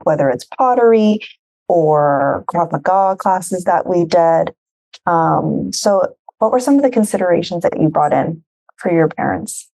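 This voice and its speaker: A woman therapist interviewing in a casual conversation, affirming and asking measured, open questions. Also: lowish voice, warm tone, low-quality recording.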